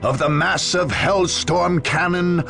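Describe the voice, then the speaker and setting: A man narrating a war game as a grave, grandiose chronicler in a solemn, theatrical, measured way. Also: high voice, steady tone, creaky, fairly bright tone, heavily acted. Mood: ominous gravity. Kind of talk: dramatic scripted game narration.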